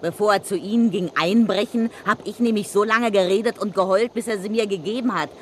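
A woman's voice: medium pitch, fairly quick, natural voice. Actress playing street-wise female blackmailer, cheeky, slangy, taunting, radio crime drama, faint background noise. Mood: heated, insistent. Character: brazen, street-wise underworld woman, a scheming blackmailer. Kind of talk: German radio crime play acting.

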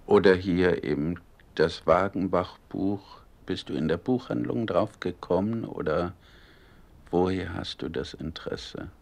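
A man's voice: low-pitched, vocal fry. A man interviewing for a radio documentary, asking long, hesitant questions. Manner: slow, hesitant questions with fillers.

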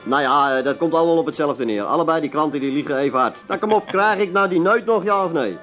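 With music behind him, a slangy, boisterous barfly in a radio play. His voice sits fairly high as he drawls and blusters in an odd, gruff manner. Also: lively intonation, fast, a bit bright, highs cut off. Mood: blustering. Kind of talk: radio drama acting.

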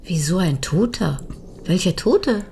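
An actress playing an inquisitive, drily witty widow turned amateur sleuth in a radio crime drama. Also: female voice, fairly low pitch, animated, a little slow, vocal fry, warm tone, a little hiss or hum. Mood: puzzled. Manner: questioning, wry.

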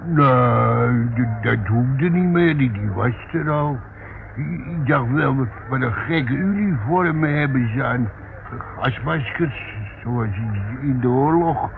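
An actor playing a grumpy old male tramp in a radio play, halting and repeating himself as he grumbles. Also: medium pitch, gravelly. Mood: rambling. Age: older. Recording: muffled narrowband old radio recording, noisy.

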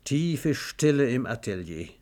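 A man's voice: mid-range voice, very animated, unhurried, mellow. A man reads a scripted radio story in a theatrical, emphatic storytelling manner. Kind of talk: dramatic scripted storytelling narration.

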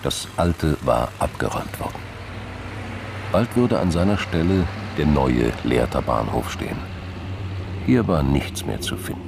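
A man's voice: a bass voice, sing-song, measured pace, vocal fry, background noise. A man reading scripted narration for a radio drama, with vehicle sounds and ambience behind him.

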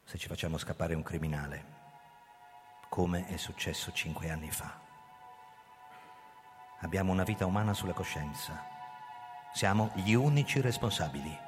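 An actor playing a proud, guarded older police commissioner who narrates his own story in a theatrical, measured radio drama style. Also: deep voice, lightly acted. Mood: grave, troubled. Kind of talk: live radio drama reading with music. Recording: reverberant hall with music behind. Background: music.

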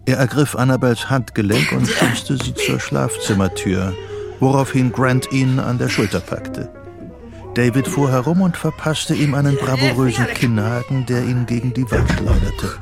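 An actor narrating a psychological thriller radio play as a grave storyteller. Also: male voice, medium pitch, expressive, normal speed, vocal fry, music in the background. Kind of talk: scripted narration in a radio drama.

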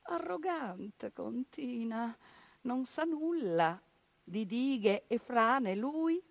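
A woman performing a one-woman theatre monologue, speaking with passion and swinging between hushed lines and forceful ones. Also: on the high side, animated, extremely slow, no room sound.

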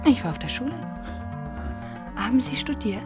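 A woman's voice: fairly high pitch, very animated, normal speed. An actress playing a simple, naive girl with morbid dreams, confiding earnestly in a German radio drama. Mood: earnest, dreamy. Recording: music behind the voice.

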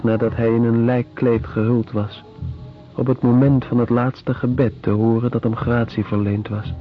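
Radio play narrator: male actor as a grave, deliberate chronicler, unhurried, on a lo-fi recording with music underneath. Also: medium pitch, close to an everyday voice. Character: sober chronicler recounting prison colony horrors. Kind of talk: scripted narration in radio drama.

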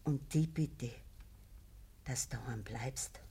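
An actress playing an ailing old peasant mother in a radio play, with a breathy, frail voice, a Bavarian accent and a halting, pleading delivery. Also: extremely low pitch, dark tone, exaggerated voice. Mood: weak, worried. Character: gravely ill old peasant mother, pleading, gentle, pious. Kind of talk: naturalistic dialect radio drama acting.

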